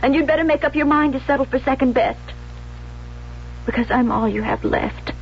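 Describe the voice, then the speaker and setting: A woman's voice: sits high, normal speed, soft background music. An actress playing a jealous, unstable young woman in a 1970s radio drama, intense and pleading, on a dull vintage recording. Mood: wounded, demanding. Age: young adult. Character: jealous, obsessive, unstable young bride-to-be turned killer.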